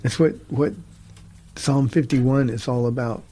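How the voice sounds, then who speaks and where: Earnest pastor, mellow husky man. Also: medium pitch, steady tone. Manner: earnest, halting, with many restarts. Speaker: pastor and show host. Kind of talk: conversational Bible teaching.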